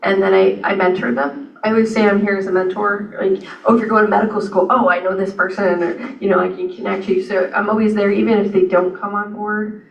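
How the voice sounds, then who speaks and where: A woman on a panel talking about hiring in a relaxed, rambling way with lots of fillers. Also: mid-range voice, a little fast, creaky. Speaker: panelist and employer. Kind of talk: panel discussion. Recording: dull echoey room mic from a distance.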